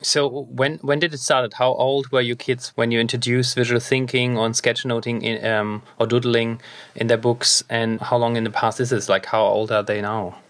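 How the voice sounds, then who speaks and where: A man's voice: mid-range voice, little pitch movement, normal speed, fairly bright tone, dry recording. A man hosting an interview, talking casually and hesitantly with many fillers and restarts. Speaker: podcast host.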